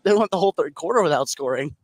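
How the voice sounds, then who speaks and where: A man's voice: a little high, animated, rapid-fire. A man giving conversational basketball analysis as a color commentator during a live broadcast. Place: indoor school basketball gym.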